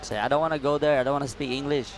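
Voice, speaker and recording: A man's voice: medium pitch, steady tone, racing, a crowd in the background. A man with an Indonesian accent co-commentating live surfing, playful and laughing, with loose, broken phrasing.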